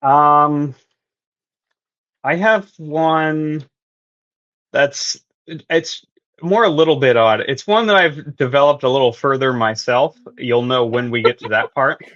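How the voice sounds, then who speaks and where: A woman chatting as a panel guest about fan theories in a playful, theatrical storytelling way with dramatic pauses. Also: low voice, a bit bright, highs cut off. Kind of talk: casual fan theory discussion.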